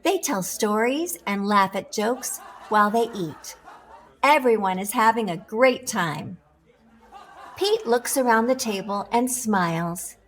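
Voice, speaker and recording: A woman reading a children's picture book aloud in an expressive, deliberate storytelling manner for young listeners. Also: medium pitch.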